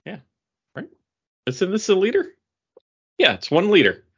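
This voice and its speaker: A man's voice: sits high, wildly swooping pitch, a little slow, creaky, a bit bright, husky, muffled recording. A man co-hosting a podcast in casual chat, rambling through tentative asides. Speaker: podcast co-host.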